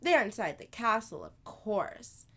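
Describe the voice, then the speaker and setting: A woman voicing a playful, knowing older sister who tells fanciful tales in an animated, confiding way for a children's storybook. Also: mid-range voice, unhurried, pitched above the performer's own voice, full character voice. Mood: excited, confiding. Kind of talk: children's storybook read-aloud.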